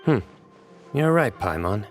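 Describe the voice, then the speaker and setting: An actor playing a calm, stern young chief investigator in a video game, speaking in a measured, deliberate, matter-of-fact way. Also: male voice, lowish voice, over loud music. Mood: composed.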